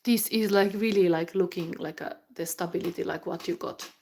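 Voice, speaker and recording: A woman's voice: on the low side, moderate pace, vocal fry, darkish tone, a little hiss or hum. A woman from Finland with a Finnish accent, giving a live tarot reading while down with the flu, rambling with fillers and restarts and sometimes dropping into a whisper. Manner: rambling, thinking aloud with many fillers and restarts; drops into whispers while channeling. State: has the flu. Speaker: tarot reader.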